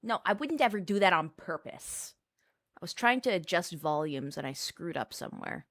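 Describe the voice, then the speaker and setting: A woman's voice: fairly low pitch, big pitch swings, normal speed, a bit bright. A woman streamer talking casually and rambling in a self-deprecating way while she plays. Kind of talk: casual chat while gaming.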